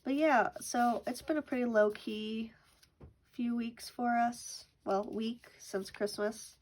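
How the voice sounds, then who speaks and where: A woman's voice: a little high, fairly even intonation, slow, darkish tone. Sick young woman chatting. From Canada. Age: young adult. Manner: chatty, counts money aloud. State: sick with a cough. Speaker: budgeting channel host. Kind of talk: casual chat while counting cash. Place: at home.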